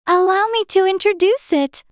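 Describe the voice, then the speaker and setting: A polite, curious little storybook girl, acted by a man with a very high voice. She is prim, earnest and chatty, recorded close and dry. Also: fairly even intonation, normal speed, a bit bright, no room sound. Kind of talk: dramatized audiobook character voice.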